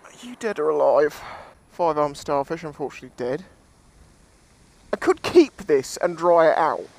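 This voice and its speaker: A man's voice: a little high, big pitch swings, normal speed, gravelly, darkish tone. A British man giving casual, jokey, sweary commentary as he vlogs outdoors on a seashore. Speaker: beachcombing vlogger.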